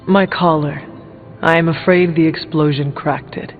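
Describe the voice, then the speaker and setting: Casting: a reserved, formal young game hero, earnest and understated, muffled with reverberant tail. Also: male voice, on the high side, steady tone, slow pace. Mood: hesitant. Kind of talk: video game voice acting. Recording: muffled, long hall-like reverb, faint music. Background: faint music.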